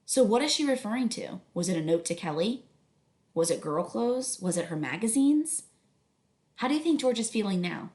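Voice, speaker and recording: A woman's voice: medium pitch, very animated, normal speed, darkish tone. Woman teacher reading aloud in echoey room. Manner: instructive, questioning. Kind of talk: read-aloud narration with questions to students.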